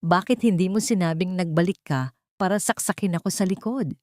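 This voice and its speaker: Woman voicing a sneering, treacherous husband, low-pitched. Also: a little fast, darkish tone, no echo, studio quality, close to an everyday voice. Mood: sneering menace. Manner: taunting, dramatic. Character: menacing, sneering man, treacherous scheming husband.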